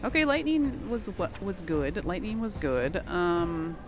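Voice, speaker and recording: A woman's voice: fairly low pitch, sing-song, unhurried, very noisy. A woman hosting a let's play, commenting casually on a game and hesitantly thinking aloud.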